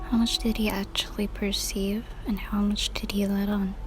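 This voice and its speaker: A woman's voice: medium pitch, flat delivery, moderate pace, soft background music. A young adult woman giving casual, slangy, reactive commentary while playing a video game.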